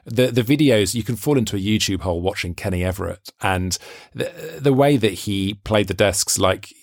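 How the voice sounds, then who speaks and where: Low gravelly-voiced Welsh man chatting. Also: normal speed, no room sound, clean studio sound. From Wales. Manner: chatty, enthusiastic, rambling with restarts. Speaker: radio presenter and comedian, guest. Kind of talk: casual interview chat.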